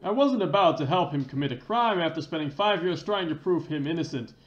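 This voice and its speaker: A man's voice: on the high side, animated, brisk, faint music behind, lightly acted. A man voicing a cocky, devoted investigation chief with a swaggering manner. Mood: determined, confident. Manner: swaggering, says "baby" as a tag. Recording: dull lo-fi roomy mic.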